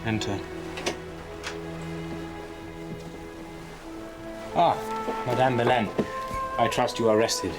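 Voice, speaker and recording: An actor playing a stern, formal Royal Navy captain in a period drama, with a British accent and clipped, authoritative speech. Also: male voice, medium pitch, fairly even intonation, normal speed, natural voice. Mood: courteous. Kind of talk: period television drama acting. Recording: film soundtrack with music underneath.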